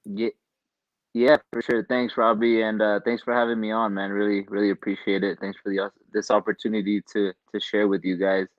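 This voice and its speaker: Young guest from southern california, low flat voice, hesitant, dry dull line, brief answers full of ums. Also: male voice, brisk, no room sound. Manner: relaxed, hesitant, many ums and restarts. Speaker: guest, professional soccer player. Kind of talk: casual interview answers.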